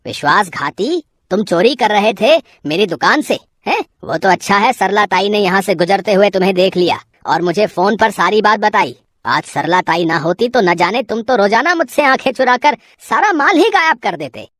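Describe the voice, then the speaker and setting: A woman's voice: medium pitch, animated, moderate pace, fairly bright tone, no room sound, pitched below the performer's own voice. Stern male shop owner, acted by a woman, commanding, scolding, cartoon moral tale, dry sound. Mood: outraged. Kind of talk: cartoon moral story voice acting.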